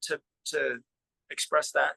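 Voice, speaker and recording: A man being interviewed as a musician, talking casually and haltingly, searching for words and often trailing off into "you know.". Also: a little high, level tone, vocal fry, pushed and bright, breathy edge, no room sound.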